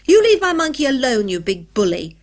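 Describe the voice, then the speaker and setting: A woman voicing a small, excitable, defiant boy in a children's story, loud and fierce. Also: a little high, normal speed, voice pushed up, natural voice. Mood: furious, defiant.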